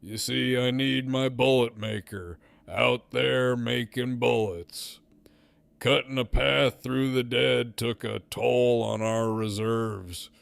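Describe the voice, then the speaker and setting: A man playing a smug, menacing gang leader in an audio drama, with a sardonic, profane, deliberate drawl. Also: mid-range voice, fairly even intonation, gravelly, no echo. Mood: smug, commanding.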